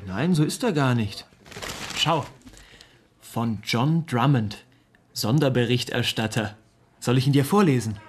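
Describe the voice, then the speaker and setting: A man's voice: mid-range voice, unhurried, long pauses, slight background noise, close to an everyday voice. A man playing a cocky, eager young cub reporter in a German radio drama, boastful and insistent. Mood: eager, proud.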